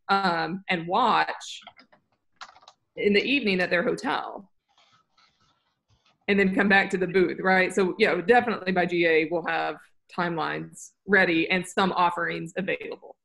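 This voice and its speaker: Woman on a zoom call, rambly and upbeat, plans stuff out loud. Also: lowish voice, normal speed, vocal fry. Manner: rambling, upbeat, self-correcting. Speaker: course producer. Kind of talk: casual video call meeting. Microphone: video call.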